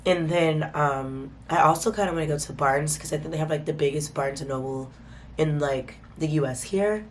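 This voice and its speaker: Woman vlogger, lowish voice, congested from a cold, chatty and informal, light background hum. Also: normal speed. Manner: chatty, informal, addresses viewers as y'all. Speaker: vlogger host. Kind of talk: casual vlog chat to camera.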